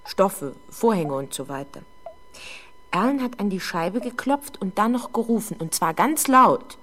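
A young woman playing a frightened, defensive teenage girl under police questioning in a 1970s German radio drama, halting and easily exasperated. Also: medium pitch, lively intonation, fairly bright tone. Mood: haunted, agitated. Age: young adult. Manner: hesitant, halting, exasperated when doubted.

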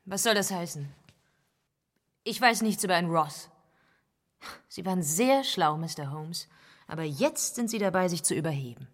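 An actress playing a cold, vengeful, murderous wife in a radio drama, haughty and biting, in a reverberant space. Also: female voice, fairly low pitch, expressive, moderate pace, pauses often. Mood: defiant. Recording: long hall reverb.

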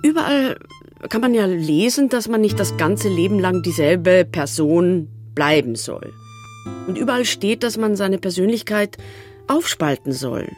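An actress playing a blunt, doubting friend in a radio drama, husky and halting. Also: female voice, fairly low pitch, animated, darkish tone, faint music behind. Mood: exasperated, lecturing. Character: blunt, doubting best friend with a guilty secret. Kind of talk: radio drama acting, dialogue and monologue.

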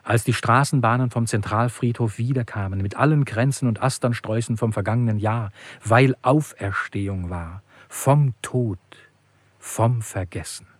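An actor playing a solemn, weary man in a poetic radio play, with a husky, deliberate delivery. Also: mid-range voice, no room sound, close to an everyday voice. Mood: solemn, lyrical.

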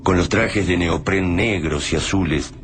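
A man narrating a radio drama in a slow, solemn, measured way. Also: low voice. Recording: noisy recording.

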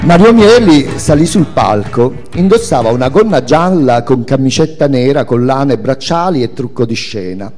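Male literary reader, mellow timbre, dramatic storytelling, recorded in a reverberant hall. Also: medium pitch, animated, moderate pace, hardly any pauses. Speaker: author reading from own memoir. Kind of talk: expressive literary reading aloud. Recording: echoey hall with music. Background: music.

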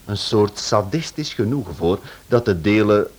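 A man's voice: fairly low pitch, expressive, moderate pace, breathy edge. A man, a radio director giving a scripted introduction to a drama series.

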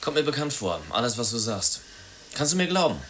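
An actor in a German radio drama playing a self-absorbed, sardonic former sailor with a flippant, wordy, theatrical way of talking. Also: male voice, mid-range voice, very animated, a little fast, a bit bright. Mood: musing.

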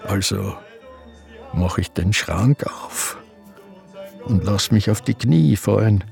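An actor with a Viennese accent playing an elderly man in a sanatorium who slowly broods over his memories, halting and confessional. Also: on the low side, expressive, creaky, music in the background, full character voice. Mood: brooding memory. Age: older. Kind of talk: radio play acting with inner monologue.

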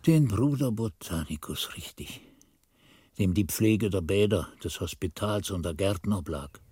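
A man's voice: deep voice, animated, a little slow, warm tone, slightly rough, no echo, exaggerated voice. An actor playing an old monk who narrates his youth in a radio drama, musing and questioning his own memory. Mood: remembering.

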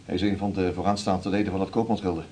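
A man's voice: low voice, steady tone, mellow, lightly acted. Man as a hesitant bereaved seafarer, noisy recording. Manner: hesitant, polite, halting. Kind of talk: radio play acting. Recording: noisy, with background ambience.

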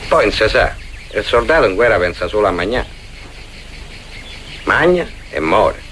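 An actor with a Roman accent playing a gruff, earthy legionary, blunt and colloquial, in a comic radio play. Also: male voice, fairly low pitch, sing-song, normal speed, a bit bright, slight background noise. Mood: grumbling.

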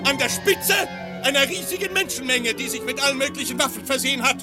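A high, alarmed fugitive. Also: male voice, quick pace. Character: alarmed male companion on the run. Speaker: companion Radcliffe. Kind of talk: radio play acting. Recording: music behind the voice.

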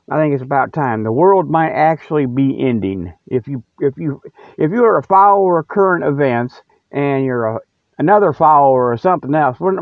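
Guy on a thin tinny radio sound, dry no echo, rambling and joking in a down-home folksy way. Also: medium pitch. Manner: folksy, colloquial, teasing, chuckling. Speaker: host of his own radio show.

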